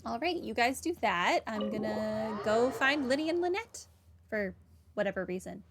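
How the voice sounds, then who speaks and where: A woman giving casual, wry, deadpan commentary while playing a video game. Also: fairly high pitch, moderate pace, background noise. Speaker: gaming playthrough commentator.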